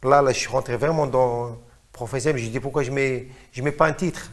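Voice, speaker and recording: A man's voice: mid-range voice, fairly even intonation, a little fast. A middle-aged man from Morocco, a singer, talks in a casual interview, hesitant, often repeating words as he searches for phrases.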